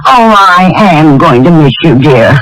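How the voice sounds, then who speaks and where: A sentimental, fussy older landlady in a vintage 1940s radio comedy. She gushes and dithers with a very lively, swooping delivery. The sound is that of an old radio recording. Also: low voice, a little slow, low-quality recording.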